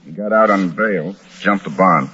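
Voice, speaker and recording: A man's voice: deep voice, normal speed, bright, forceful tone, breathy edge, heavily acted. A man playing a businesslike lawman who reports in a matter-of-fact way, in a vintage radio crime drama. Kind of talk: 1940s-50s radio crime drama acting. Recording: old dull lo-fi radio recording.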